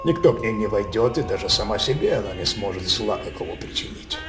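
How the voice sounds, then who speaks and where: An actor playing a tyrannical, feverishly passionate king in a declamatory radio drama style, with drawn-out words and sudden outbursts. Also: fairly low pitch, sing-song. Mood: imperious. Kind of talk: Russian theatrical radio drama acting. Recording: roomy, distant voice over loud music.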